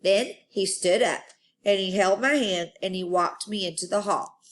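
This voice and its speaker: Expressive woman reading a children's book. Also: mid-range voice, normal speed. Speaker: storyteller.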